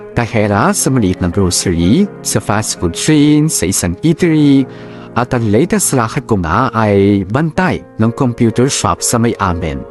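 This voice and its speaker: A man narrating a drama story in the first person, in a chatty storytelling manner. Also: fairly low pitch.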